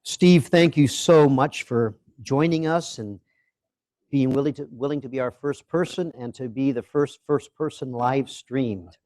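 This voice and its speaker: A man hosting a live interview at a museum, introducing the guest and asking questions in a measured way. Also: medium pitch, darkish tone, no room sound. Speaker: interviewer and host.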